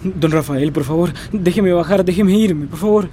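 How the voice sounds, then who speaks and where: An actor with a Latin American accent playing a frightened young fugitive in a radio drama, pleading and agitated. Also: male voice, high-pitched, normal speed, dark tone, slight background noise, lightly acted. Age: young adult. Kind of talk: Spanish-language radio drama acting.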